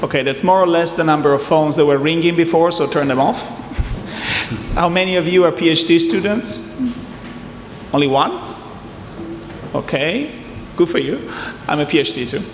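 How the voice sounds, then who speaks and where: A man's voice: fairly high pitch, normal speed, a little hiss or hum. Italian man talking in an echoey hall. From southern Italy. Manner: chatty, jokes with the audience. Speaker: venture capital investor on a panel. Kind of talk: conference fireside chat. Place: conference hall. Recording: echoey hall, faint ambience.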